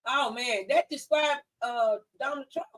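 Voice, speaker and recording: Distant-miked, high-voiced woman talk host. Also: unhurried, fairly bright tone. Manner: folksy, emphatic, repeats phrases for effect. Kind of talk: conversational opinion talk.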